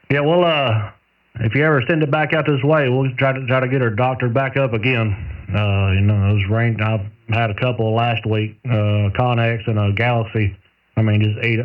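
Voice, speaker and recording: A man chatting casually as a radio operator, with folksy, rural phrasing. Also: mid-range voice. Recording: tinny narrowband radio transmission, far from mic.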